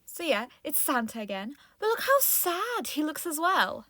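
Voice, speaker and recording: Giggly woman co-hosting a craft video, really high voice, dry sound, jokey casual chat. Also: sing-song, moderate pace, pushed and bright, no room sound. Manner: giggly, amused. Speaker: co-host of a craft show.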